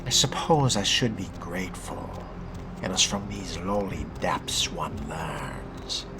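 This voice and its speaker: A man's voice: low-pitched, expressive, very noisy. A man voicing a disdainful, weary fledgling vampire in a video game role-play, with dry, wordy, formal speech. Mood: brooding. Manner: haughty, dry, wordy, measured. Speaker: role-playing game streamer voicing his character. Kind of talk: in-character game narration.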